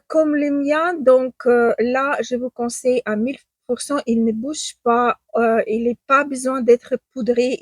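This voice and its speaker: Woman, non-native speaker, makeup vlog, slow unhurried talk, fairly high voice, dry close sound, lots of fillers. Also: dry recording. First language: not French. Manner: hesitant, many fillers and restarts; enthusiastic superlatives. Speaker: beauty vlogger. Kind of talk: casual makeup review to camera. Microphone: own microphone, at times off.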